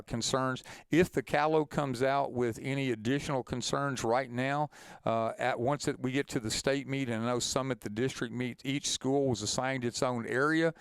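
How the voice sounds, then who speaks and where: A man presents an informal instructional webinar. His voice is very smooth and clean at a medium pitch, recorded dry with no room sound. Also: no room sound.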